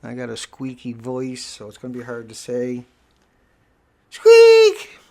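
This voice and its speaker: A man's voice: mid-range voice, wildly swooping pitch, slow pace, creaky, a little mellow. A middle-aged man hosting a live stream, talking casually and emphatically, rambling and repeating himself.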